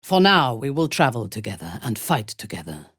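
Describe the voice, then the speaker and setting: A woman playing a proud, ruthless female dark elf warrior in a video game, speaking in a cold, commanding, formally measured manner. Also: rumbling low, big pitch swings, husky, dry recording, studio quality, full character voice. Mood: solemn, intense. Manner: imperious, measured, formal phrasing.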